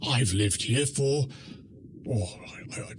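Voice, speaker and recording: A man's voice: mid-range voice, slow, close to an everyday voice. A man playing a fussy, house-proud older octopus in a children's radio play, stammering and emphatic. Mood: flustered, defensive.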